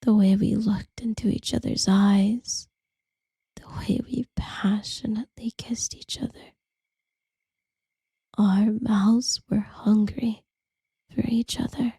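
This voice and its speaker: A woman voicing a possessive, seductive lamia former lover in an intimate ASMR audio roleplay, speaking in a hushed, breathy whisper with slow, lingering condescension. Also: mid-range voice, fairly even intonation, dry recording, heavily acted. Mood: seductive, wistful.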